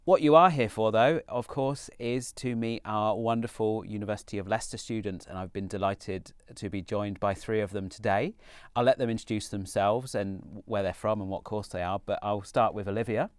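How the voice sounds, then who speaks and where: A man hosting a university student panel, upbeat but halting, with many fillers and restarts. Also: on the low side, smooth, no echo. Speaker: panel host, university recruitment head.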